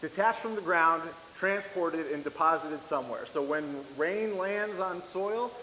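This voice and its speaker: A man with a somewhat high voice gives an easygoing, joking presentation to an audience. He speaks loudly into a handheld mic. The sound rings with a long hall reverb under a faint hiss. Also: unhurried. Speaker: conservation specialist giving a presentation. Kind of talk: public presentation. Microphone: handheld microphone.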